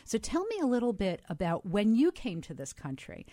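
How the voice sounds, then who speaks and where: A woman interviewer from the United States, asking questions in a warm, attentive manner. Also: medium pitch, wildly swooping pitch, moderate pace, no room sound.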